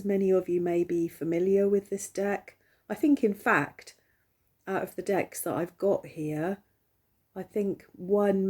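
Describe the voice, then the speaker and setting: A woman hosting a tarot vlog, talking casually in a croaky, hoarse voice, hesitant and rambling with many pauses. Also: fairly low pitch, warm tone. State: hoarse, recovering from illness. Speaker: tarot channel host. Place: at home, seated.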